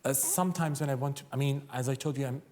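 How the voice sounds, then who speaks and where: A man with a Persian accent, a native Persian speaker, talking candidly and a little haltingly as a debate panellist. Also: mid-range voice, fairly even intonation, darkish tone. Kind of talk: personal reflection in a live debate. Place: theatre stage, live panel debate.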